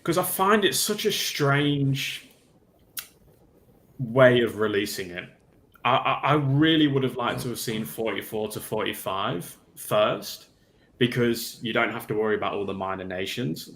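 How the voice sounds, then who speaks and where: A man's voice: medium pitch, expressive, a little slow, fairly bright tone. A man giving his opinions casually as a panelist on a hobby livestream. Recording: echoey room on livestream.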